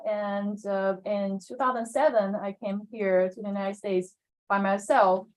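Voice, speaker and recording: A woman's voice: medium pitch, steady tone, measured pace. Woman, hesitant. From China. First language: Chinese. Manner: hesitant, many fillers. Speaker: public health researcher, panelist. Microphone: video call.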